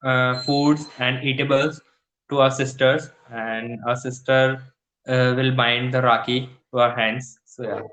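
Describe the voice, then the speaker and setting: Halting young Indian man, roomy sound. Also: mid-range voice, little pitch movement, fairly bright tone. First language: Hindi. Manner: halting, many fillers, searches for words. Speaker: English learner. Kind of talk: casual conversation practice. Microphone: video call.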